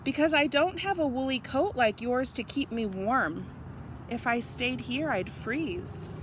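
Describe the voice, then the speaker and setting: A woman voicing a carefree, independent male butterfly in a children's storybook, airy and self-assured with drawn-out phrases. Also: high voice, background noise. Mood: gentle, firm. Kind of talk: children's storybook read-aloud.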